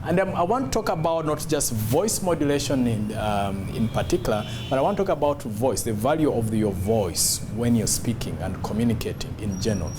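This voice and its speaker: A man's voice: mid-range voice, animated, fairly quick, few pauses, over music. A man giving an instructional talk as a public speaking coach, emphatic and demonstrative, repeating key phrases.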